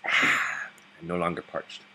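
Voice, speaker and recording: A man with a very deep voice giving rambling gameplay commentary from his bed into a laptop microphone, chuckling often and restarting his sentences. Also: measured pace, pushed and bright, poor audio. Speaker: gaming video host. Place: bedroom, sitting up in bed.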